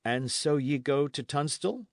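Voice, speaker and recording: A man's voice: fairly high pitch, animated, unhurried, dry recording, voice pushed up. A man acts out a young lad from an old adventure tale. He is earnest, with archaic turns of phrase. The voice sits raised and swings freely, recorded dry. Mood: questioning. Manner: archaic storybook speech.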